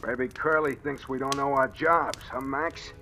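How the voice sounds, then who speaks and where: Sneering hired gunman: forceful, sharp-edged voice, slangy taunts, vintage radio crime drama with faint music. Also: medium pitch, steady tone, a little slow. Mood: mocking menace. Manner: mocking, slangy tough-guy talk. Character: big, menacing, sneering hired killer. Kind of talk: 1940s radio gangster acting. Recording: old-time radio recording, faint music.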